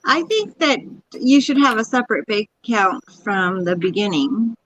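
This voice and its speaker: A woman's voice: medium pitch, sing-song, moderate pace, a bit bright, slight background noise. A woman from Arizona with an American accent, chatting casually and a bit tentatively as a guest, on dull call audio. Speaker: guest on a live show. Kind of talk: casual chat.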